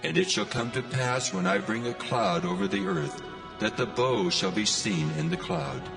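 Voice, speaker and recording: Male actor as almighty deity, very gravelly, solemn proclamation, expressive pitch swings, music behind, audio scripture drama. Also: moderate pace. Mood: solemn, majestic.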